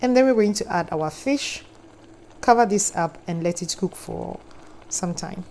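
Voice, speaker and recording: A woman's voice: lowish voice, lively intonation, a little slow, mellow, slight background noise. A young woman with a Ghanaian accent, hosting a cooking tutorial in a friendly, instructive voice-over with chatty asides. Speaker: cooking channel host.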